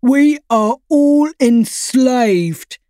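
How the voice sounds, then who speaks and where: A woman's voice: sits high, expressive, very slow, dark tone, no room sound, clean studio sound, pitched above the performer's own voice, exaggerated voice. Woman voicing a scornful, rebellious male dog. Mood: rousing. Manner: scornful, defiant, speechifying.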